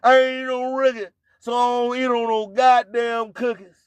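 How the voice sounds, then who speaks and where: Guy radio host w really high voice, takes his time, preachy rhyming slogans over and over, dry sound. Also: flat delivery, normal speed, clear, resonant tone, no room sound. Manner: repetitive, rhyming catchphrases, declamatory. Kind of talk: live show hype and preaching monologue.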